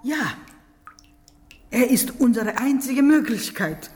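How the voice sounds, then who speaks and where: A woman's voice: on the high side, animated, slow pace, a little mellow, faint music behind. A weary mother in a radio crime drama. She sounds resigned and speaks in terse, heavy phrases.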